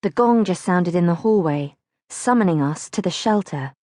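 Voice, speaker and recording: A woman's voice: lowish voice, normal speed, no room sound, clean studio sound. A woman narrating a childhood diary as an audiobook, reading expressively from a script. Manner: expressive, acts out questions.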